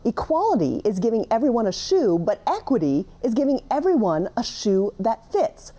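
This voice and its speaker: A woman's voice: a little high, mellow. A woman hosting a televised panel discussion, introducing guests and asking questions in an upbeat way. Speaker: host.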